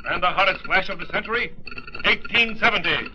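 A man playing an excitable newsflash announcer in a 1940 radio comedy trailer. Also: sits high, fairly quick, crowd noise, natural voice. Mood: urgent, showy. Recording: noisy, muffled 1940 radio transcription disc.

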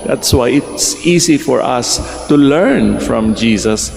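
A man's voice: medium pitch, animated, slow, vocal fry, a little mellow, a little hiss or hum. An older man with a Filipino accent preaching a homily in a large church, switching between Tagalog and English and asking his listeners rhetorical questions. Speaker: priest giving a homily. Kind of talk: sermon. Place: large church, in front of the altar. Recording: echoey church hall reverb.

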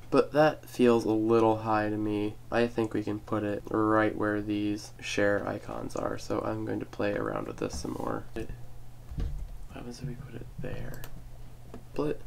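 A man's voice: on the low side, gravelly, slight background noise. A young man getting over a cold, chatting casually through a step-by-step website tutorial with self-deprecating asides. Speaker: tech tutorial host. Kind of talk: casual screen-recorded tutorial.

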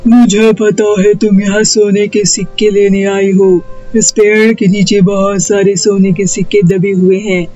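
A man's voice: high voice, flat delivery, unhurried, dark tone, muffled recording, faint music behind. A man voicing a menacing haunted talking tree in a cartoon moral tale, threatening and declarative.

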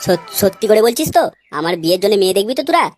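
A man's voice: high-pitched, sing-song, racing, loud music behind the voice. A man voicing a gloomy young bachelor in a comedy cartoon, complaining in slangy talk. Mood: anxious, pleading. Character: luckless young bachelor man, whiny, gloomy, desperate to marry.

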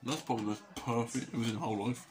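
A man's voice: medium pitch, rapid-fire, music in the background. A young man from England, losing his voice, rambling jokily to camera at home and narrating himself in the third person. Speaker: vlogger. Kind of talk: casual rambling self-commentary. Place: small dim living room at home. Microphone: webcam or phone camera at close range.

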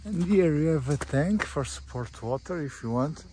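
Portuguese guy doing a property tour outside, mellow voice, halting english, bit of background noise. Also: a little high, expressive. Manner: halting, simple English with short phrases. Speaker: real estate agent. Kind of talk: informal property walkthrough. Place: outdoors on a rural farm. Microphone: handheld phone or camera held by speaker. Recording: outdoor handheld recording with faint background noise.

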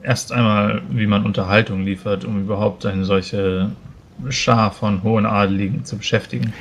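Tabletop fantasy adventurer man acting, doubtful and careful, fairly deep voice, measured phrasing. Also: steady tone, a little hiss or hum, close to an everyday voice. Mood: thoughtful. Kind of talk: in-character tabletop roleplay.

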